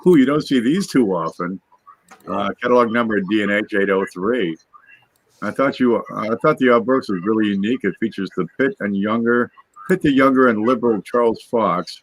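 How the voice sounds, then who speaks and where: Low-pitched man hosting a chatty Q&A. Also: no room sound, low-quality recording. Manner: chatty and explanatory, with fillers and restarts. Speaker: show host. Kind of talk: casual panel Q&A, reading viewer questions aloud.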